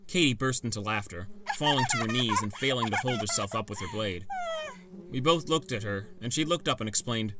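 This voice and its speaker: A man's voice: fairly low pitch, animated, a little fast, very noisy. A man narrating a first-person fantasy adventure story in a scripted, dramatic audiobook style.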